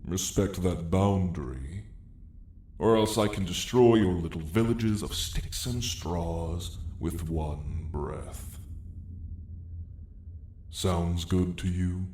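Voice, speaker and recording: Man voicing a slow, deep, haughty dragon. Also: mellow, roomy sound, slight background noise. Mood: haughty, warning. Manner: slow, condescending, drawling, theatrical. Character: haughty, sardonic dragon, apex predator of a mountain. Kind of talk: ASMR roleplay acting.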